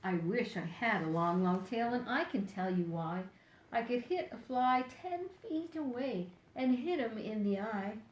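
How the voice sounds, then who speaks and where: Older female storyteller, unhurried picture-book read-aloud, playful and direct with children, echoey room. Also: on the high side, animated. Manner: deliberate, playful, addresses young listeners directly.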